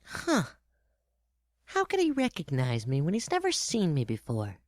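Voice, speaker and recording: A man voicing a timid, curious little prince in a storybook tale, speaking softly and hesitantly. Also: on the high side, huge pitch swings, unhurried, no echo, studio quality, pitched above the performer's own voice. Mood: puzzled. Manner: soft, hesitant, apologetic.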